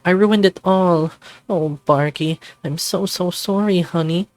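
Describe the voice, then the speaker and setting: A man's voice: high-pitched, a little slow, darkish tone, no room sound, voice pushed up. Fretful, tipsy vampire bat husband for a visual novel, acted by a man, easily flustered, close dry sound over music. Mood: distraught, apologetic.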